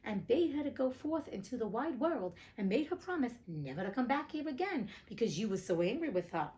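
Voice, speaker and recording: A woman's voice: a little high, big pitch swings, fairly quick, warm tone, a little hiss or hum. A woman voicing a king's aged mother in a storybook, stern yet compassionate, with a dramatic delivery. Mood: consoling.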